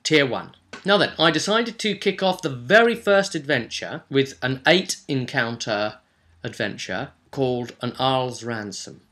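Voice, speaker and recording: A man with a bright, forceful voice at a middling pitch talks casually as a vlog host. He is emphatic and informal, explaining tabletop gaming with enthusiasm. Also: expressive, a little slow. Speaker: host and game master. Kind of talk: casual vlog talk about a tabletop role-playing game.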